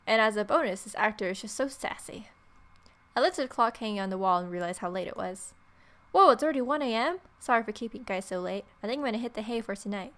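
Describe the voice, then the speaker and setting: A woman's voice: mid-range voice, fast, fairly bright tone. A woman reading story text aloud as a let's player, with irreverent, sarcastic asides.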